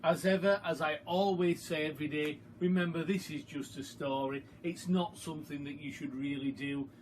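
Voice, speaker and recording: A man reading a story aloud to children like a primary school teacher, expressive, deliberate and emphatic. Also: a little high, slight background noise. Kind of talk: storytime read-aloud narration.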